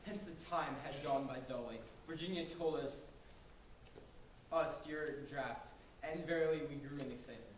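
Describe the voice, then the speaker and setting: A man's voice: fairly high pitch, moderate pace, close to an everyday voice. Man acting solemn archaic spirit, formal, staged play reading, echoing distant recording. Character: solemn male spirit of an English colonist. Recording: very echoey hall, distant, faint noise.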